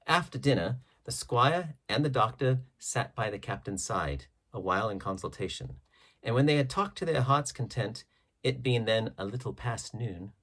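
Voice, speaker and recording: A male audiobook narrator reads a classic adventure tale. His voice is husky and mid-pitched, with very animated intonation. The phrasing is measured and dramatic. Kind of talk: scripted storybook narration.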